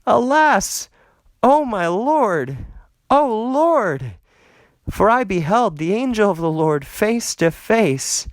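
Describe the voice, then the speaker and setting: A man's voice: high-pitched, big pitch swings, takes it slowly, no room sound, studio quality, voice pushed up, heavily acted. A man voicing a frightened, awestruck Gideon who pleads with God in a dramatised scripture reading. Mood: alarmed, pleading. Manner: pleading, exclamatory.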